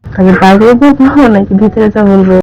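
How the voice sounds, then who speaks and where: A woman's voice: medium pitch, a little fast, gravelly, mellow, lightly acted. A woman acting the part of a pushy, insinuating middle-aged mother in an amateur skit, talking in a hesitant, insistent way. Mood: coy, hesitant. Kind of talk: amateur staged phone-call skit. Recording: dull lo-fi audio, faint noise.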